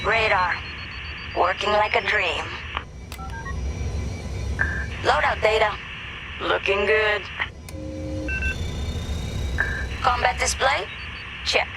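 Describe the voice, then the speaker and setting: Chatty, gravel-edged starfighter mechanic. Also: mid-range voice, noisy background. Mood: businesslike, upbeat. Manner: chatty, informal. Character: chatty, droid-distrusting starfighter mechanic, friendly and eager. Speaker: hangar mechanic. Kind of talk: cinematic video game dialogue.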